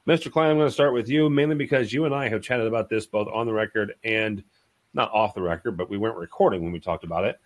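A man's voice: on the low side, quick pace. A man hosting a panel podcast, making jokey, upbeat introductions and reading out news items. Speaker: podcast host.

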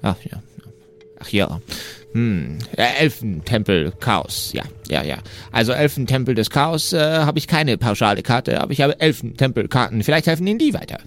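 A man's voice: mid-range voice, lively intonation, moderate pace, close to an everyday voice. A man playing a slight, fussy, eager map seller in an improvised fantasy audio play, stammering and repeating himself politely. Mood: searching, muttering. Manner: stammering, repetitive, muttering, polite. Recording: music under the voice.

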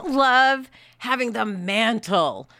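A woman from New York with an American accent, talking in a casual panel conversation in an animated, emphatic way and acting out imagined quotes. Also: a little high, slow, no echo, studio quality. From New York, USA. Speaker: podcast co-host.

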